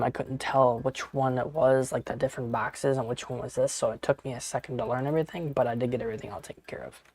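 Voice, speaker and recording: A man's voice: mid-range voice, darkish tone, a little hiss or hum. Casual rambling man in his thirties streaming. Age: 30s. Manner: rambling, casual, swears, talks to chat. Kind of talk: casual live-stream chat while gaming.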